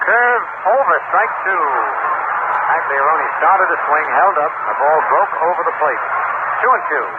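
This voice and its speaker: A man's voice: a little high, lively intonation, a little slow. An American man calling baseball play-by-play in the style of 1960s radio sports commentary. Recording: tinny, very noisy 1960s radio broadcast.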